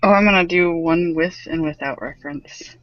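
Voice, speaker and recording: A woman's voice: on the low side, normal speed, no echo, lo-fi sound. A woman in her thirties from the USA chatting casually in a group, goofy with sing-song asides. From Michigan, USA. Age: 30s.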